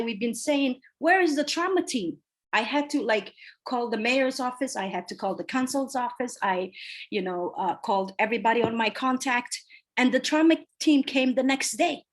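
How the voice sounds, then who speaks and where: A woman in her forties from Somalia, a city council candidate answering interview questions earnestly over a video call. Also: on the high side. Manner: earnest, emphatic, repeats key phrases.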